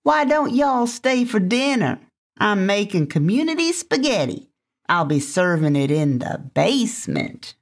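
A man's voice: high voice, sing-song, a little slow, gravelly, voice pushed up, full character voice. A man voicing a lonely, nervous middle-aged farmer with a folksy rural drawl.

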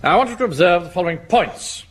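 A man's voice: high voice, expressive, quick pace, a bit bright. A man playing a pompous middle-aged English Home Guard captain, officious and clipped, in a British radio sitcom. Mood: officious, brisk. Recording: noisy old radio comedy recording.

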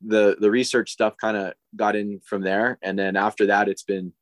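Brief: forties man, low voice, dry close sound, easygoing rambling interview guest. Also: little pitch movement, no echo. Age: 40s. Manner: rambling, halting, many fillers and restarts. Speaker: podcast guest, diver living on a boat. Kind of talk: casual interview chat.

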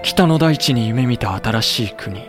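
An actor playing a composed, grave samurai official who speaks and narrates in a measured way in a Japanese period voice drama. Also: male voice, medium pitch, animated, music in the background, natural voice. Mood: solemn.

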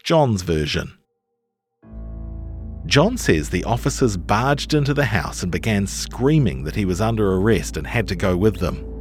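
Man, New Zealand accent, low pitch, lively intonation, scripted documentary narration, faint music behind. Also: measured pace. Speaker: narrator of a long-read podcast.

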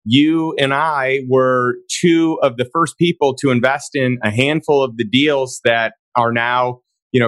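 A man hosting a podcast, his voice hoarse and raspy from days of talking, speaking emphatically and enthusiastically. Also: medium pitch, fairly bright tone, no room sound. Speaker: podcast host. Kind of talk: casual interview chat.

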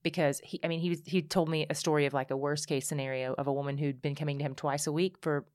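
A woman speaking candidly as a podcast guest, telling her story in animated bursts with frequent restarts. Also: low voice, quick pace, dry recording, studio quality. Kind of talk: casual conversation.

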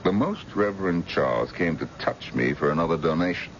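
An actor playing a touchy, domineering wealthy husband in a radio drama, with a gruff, emphatic, irritable manner. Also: male voice, deep voice, animated, brisk. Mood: blustering. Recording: dull, noisy old radio broadcast recording.

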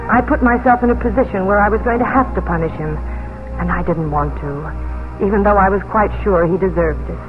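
An actress playing a proud, commanding colonial widow in a 1950s radio drama. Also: female voice, mid-range voice, fairly quick, natural voice. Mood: conflicted. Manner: imperious, dramatic. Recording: narrow vintage radio recording, music behind.

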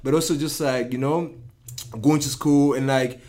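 A man's voice: mid-range voice, steady tone, moderate pace, darkish tone. A man, chatting casually as an interview guest in loose slang, often restarting his sentences. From Cameroon. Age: early 20s. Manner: loose slangy talk with lots of "like", "yo" and "bro". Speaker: guest, dance instructor.

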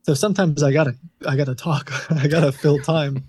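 A man answering interview questions in a relaxed, thoughtful way, often laughing. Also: a little high, brisk, husky. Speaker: scam-baiting streamer, guest.

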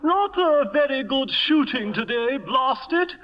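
An actor putting on a stammering, chortling upper-class British lord in a radio comedy. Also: male voice, extremely high pitch, animated, a little slow, voice pushed up. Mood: blustery, chortling. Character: sputtering, doddering upper-class English lord. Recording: muffled old radio broadcast, hall reverb.

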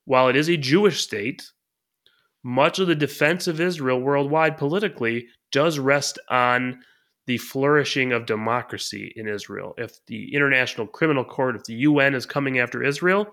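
Middle-aged podcast host, bright clear voice, talks in long measured stretches. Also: male voice, mid-range voice. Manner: measured, long-winded explaining. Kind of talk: podcast talk and interviewing.

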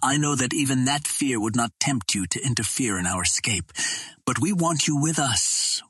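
A man's voice: medium pitch, a bit bright, breathy edge, no echo. A man reading as Dejah Thoris, a stately princess. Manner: earnest, formal and stately. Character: proud Martian princess, young woman, dignified.

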